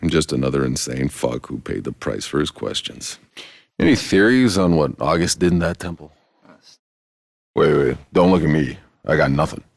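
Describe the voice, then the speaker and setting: An actor playing a world-weary, sardonic soldier in a video game, gravelly, dry and blunt. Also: male voice, a bass voice, lively intonation, normal speed, no echo, heavily acted. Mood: bitter, blunt, then dry, guarded. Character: gruff, world-weary male Outrider soldier, sardonic and foul-mouthed.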